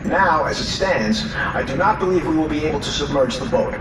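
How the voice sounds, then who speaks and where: A man's voice: fairly high pitch, animated, fairly quick, natural voice. Man acting a commanding submarine captain, echoing, noisy. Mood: composed, authoritative. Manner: formal, authoritative, clipped military speech. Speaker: actor in an audio drama. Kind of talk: audio drama acting. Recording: roomy, distant, noisy vehicle ambience.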